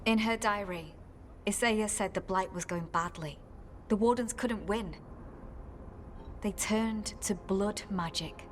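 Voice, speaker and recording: An actress playing a caring, grave former warden recruit in a video game, speaking in a measured, earnest way. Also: female voice, mid-range voice, a little hiss or hum, natural voice. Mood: solemn.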